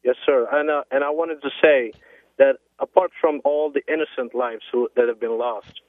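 A man from Morocco calling in to a radio show, earnest and a little halting. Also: mid-range voice, steady tone, no room sound. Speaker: caller. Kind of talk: call-in radio conversation. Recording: tinny phone line on radio.

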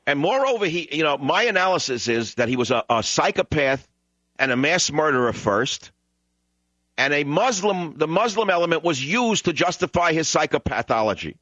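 A man's voice: on the high side, big pitch swings, moderate pace, bright, forceful tone, no echo. A man hosting a call-in radio talk show, emphatic and combative, repeating lines for effect. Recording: dull radio broadcast sound.

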